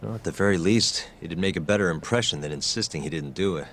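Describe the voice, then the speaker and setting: An actor playing a calm, stubborn young defense lawyer in a video game drama, earnest, measured and understated. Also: male voice, low-pitched, roomy sound, big-room sound, a little hiss or hum. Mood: calm, candid.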